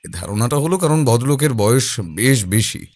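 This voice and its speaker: A man playing a calm, observant detective in an audio drama, speaking deliberately with thoughtful pauses. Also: mid-range voice, lightly acted. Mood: calm, pondering. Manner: deliberate, pausing, hesitating mid-thought.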